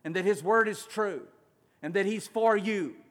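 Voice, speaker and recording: Man, pastor preaching, fairly high voice, loud-then-quiet delivery, slow emphatic phrasing, long hall reverb. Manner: dramatic swings between loud and quiet, slowing for emphasis. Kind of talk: sermon.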